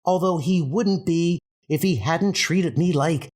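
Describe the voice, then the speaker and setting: A man's voice: a little high, measured pace, darkish tone. A man voicing a spirited, emotional young superheroine with a sassy, affectionate temper in a comic dub. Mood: worried.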